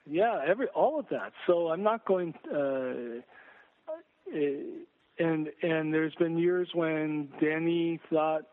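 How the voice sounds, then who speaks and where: A man speaking as a guest sports journalist in an interview, hesitant and measured as he talks. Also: a little high. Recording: thin phone-like line.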